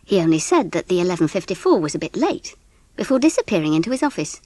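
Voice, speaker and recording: A woman with an English accent giving an expressive, measured narration of a scripted storybook. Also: lowish voice, no room sound. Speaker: audiobook narrator.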